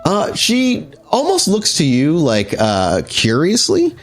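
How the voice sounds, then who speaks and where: A man narrating an improvised tabletop game as game master, descriptive and somewhat halting. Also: fairly high pitch, huge pitch swings, faint music behind.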